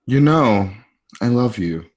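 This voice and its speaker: A man plays a brooding young emperor in an amateur radio drama. He is possessive and menacing, lingering over his words with a very mobile pitch and theatrical deliberation. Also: lively intonation, takes it slowly, darkish tone. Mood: brooding, intimate.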